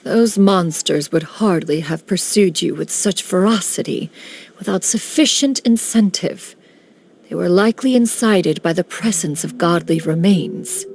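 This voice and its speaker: A proud ancient crane spirit. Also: female voice, lowish voice, a little mellow. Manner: archaic, stately speech, calls herself "one". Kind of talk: video game character dialogue. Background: game music.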